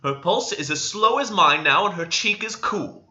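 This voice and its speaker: Guy doing a stubborn fiery young husband from a storybook, raised pitch, insistent, slightly muffled audio. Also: expressive, normal speed, sharp tone, exaggerated voice. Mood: furious denial. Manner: emphatic, insistent, impatient. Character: hot-tempered, stubborn young husband, desperately in denial.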